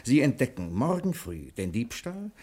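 An actor playing a brash, quick-witted bank cashier with a scheme, sardonic and theatrical, in 1960s German radio drama style. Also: male voice, mid-range voice, very animated, a little mellow, slightly rough. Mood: smug, explaining. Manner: sardonic, theatrical, domineering, with emphatic dramatic pauses.

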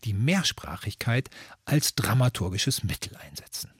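Male radio moderator, very raspy mid-range voice, measured scripted delivery, dry close sound. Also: on the low side, sing-song, dry recording.

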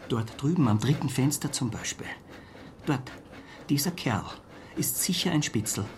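An actor playing an anxious, learned traveller who confides warnings in a radio drama. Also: male voice, mid-range voice, lively intonation, normal speed, faint music behind, lightly acted. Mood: hushed, conspiratorial.

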